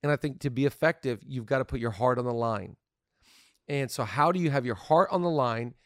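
A man's voice: mid-range voice, fairly quick, no room sound, studio quality. A man hosting a casual podcast on leadership, upbeat and playful, laughing easily with his co-host.

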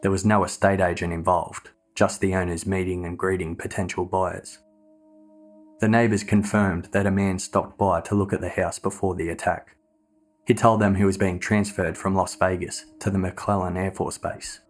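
A man reading scripted true crime narration in a measured, matter-of-fact way. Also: deep voice, flat delivery.

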